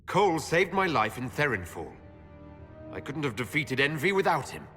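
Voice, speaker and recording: A man's voice: fairly high pitch, very animated, moderate pace, fairly bright tone, breathy edge, faint music behind, heavily acted. A man playing a resolute, diplomatic elven leader in a video game, speaking with earnest assurance. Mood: calm, frank. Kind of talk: video game cutscene acting.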